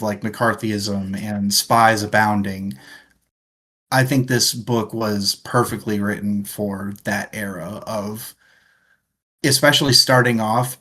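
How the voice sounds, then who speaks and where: A man's voice: on the low side, a bit bright. A man co-hosting a book club, giving his thoughts on a book in casual conversation.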